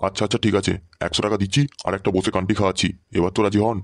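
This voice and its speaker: Man acting a fast, low, foul-mouthed cartoon villager. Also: fairly even intonation, lightly acted. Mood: wheedling. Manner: crude, slangy, combative. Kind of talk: cartoon comedy dubbing. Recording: faint music behind the voice.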